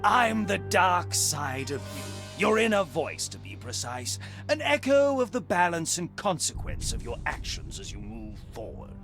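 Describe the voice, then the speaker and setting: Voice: medium pitch, sing-song, a little slow, fairly bright tone. A sly game spirit of darkness, smug and theatrical. The voice swoops widely as it taunts, sounding like a mischievous inner voice. Music plays underneath. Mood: smug, taunting. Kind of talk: scripted video game character acting.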